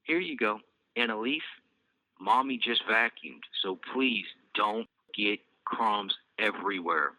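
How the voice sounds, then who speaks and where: A man voicing a young mother, soft and measured with a stern edge. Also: fairly low pitch, dry recording, full character voice, low-quality recording. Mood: patient.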